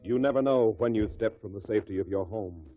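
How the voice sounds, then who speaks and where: A man's voice: on the low side, fast talker. A man announcing a 1950s radio drama in a solemn, portentous, theatrical style. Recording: tinny old radio recording with music.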